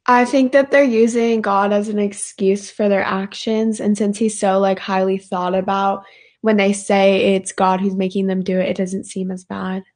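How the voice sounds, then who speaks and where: A woman's voice: mid-range voice, flat delivery, normal speed, darkish tone. A woman in a student discussion, stating her opinion plainly and steadily. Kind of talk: casual discussion.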